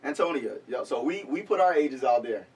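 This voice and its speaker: A man's voice: medium pitch, animated, fairly quick, darkish tone, slightly rough, far from the mic, low-quality recording. A man in his forties hosting a panel talk, easygoing as he prompts his guests with questions. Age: 40s. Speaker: host.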